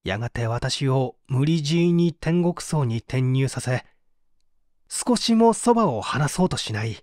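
A man's voice: mid-range voice, animated, a little slow, a little mellow, dry recording, clean studio sound, natural voice. A man playing an anguished painter confessing to an interrogator in a polite, emotive radio-drama reading. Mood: pleading, intense. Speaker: narrator and reader.

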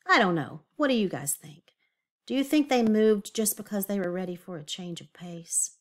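A woman's voice: mid-range voice, expressive, normal speed. A woman reading a picture book aloud to young children, expressively, with emphatic words and confiding asides and questions. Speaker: children's read-aloud teacher.

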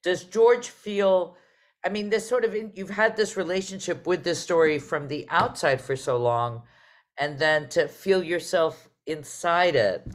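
A woman's voice: on the low side, a little slow. A woman moderating a casual panel conversation over a video call. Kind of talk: casual interview chat.

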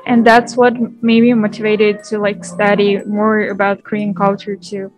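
A woman's voice: mid-range voice, completely flat delivery, moderate pace, faint music behind, poor audio. A young woman from Kazakhstan answering on a video call, eager and peppering her talk with "like". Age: young adult. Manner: eager, filled with "like". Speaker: interviewee, student.

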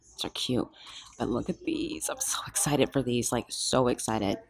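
A woman filming a shop-with-me vlog in a craft store aisle on a handheld phone, excited and gushing, often dropping to a whisper. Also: rumbling low, normal speed, husky, no echo. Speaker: shopping vlogger.

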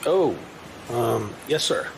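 A man's voice: medium pitch, lively intonation, takes it slowly, creaky, noisy background. An actor playing a nervous, deferential cargo crewman in a science fiction audio drama, hesitant and obsequious. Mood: nervous, eager.

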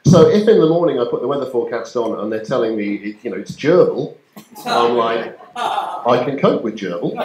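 A man's voice: medium pitch, lively intonation, brisk, a little mellow. A man performing a stand-up comedy routine to a live audience, with a wry manner and a mock forecast-reading delivery. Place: community centre hall, live audience. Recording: echoey hall with background noise.

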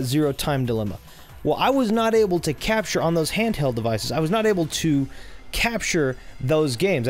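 A man with a low voice hosting a casual game playthrough, chatty and rambling, correcting himself as he goes. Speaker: gaming channel host. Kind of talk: casual let's-play commentary.

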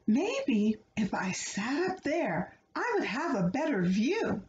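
A woman's voice: on the high side, sing-song, slow, darkish tone. A woman voicing a curious, earnest little teddy bear in a storybook, musing simply and wistfully. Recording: roomy, dull home recording with background noise.

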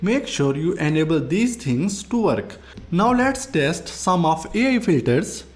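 A man with a high-pitched voice narrates a scripted software tutorial. His intonation is very lively, moving up and down as he presents as a tech review host. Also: a little slow, faint music behind.

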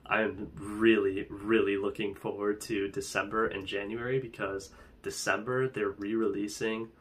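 A young man chats to the camera in a loose, rambling way, leaning on fillers as he talks. His voice sits fairly low and stays level, with a faint hum of the room behind him. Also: fairly bright tone. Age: young adult. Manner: chatty and rambling, heavy fillers. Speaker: fitness vlogger. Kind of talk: casual talking-head vlog. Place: small indoor room with wood-panel wall.

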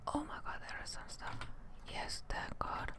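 Woman whispering ASMR game commentary. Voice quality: breathy, unvoiced whisper. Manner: hushed, halting, swears casually. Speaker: streamer playing a horror game. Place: indoors at a desk, close to the microphone. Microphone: desk microphone held close at the mouth.

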